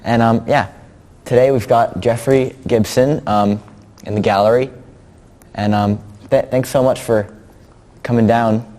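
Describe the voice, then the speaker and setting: A man's voice: mid-range voice, steady tone, a little slow, mellow, big-room sound. A teenage boy interviewing an artist, asking hesitant questions full of "um" and "like". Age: teens. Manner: hesitant, many "um" and "like" fillers. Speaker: teen interviewer. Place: gallery.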